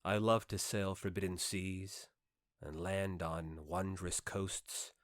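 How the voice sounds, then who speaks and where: A man with a fairly low voice narrates an old literary novel in the first person. He reads with theatrical emphasis and deliberate dramatic pauses. The recording is close and dry, with very little room sound. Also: steady tone, dry recording. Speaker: audiobook narrator.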